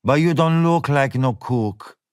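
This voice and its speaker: A man's voice: medium pitch, unhurried, clear, resonant tone, no room sound, studio quality, close to an everyday voice. A man voicing a tough woman bartender in a run-down diner, blunt and drawling.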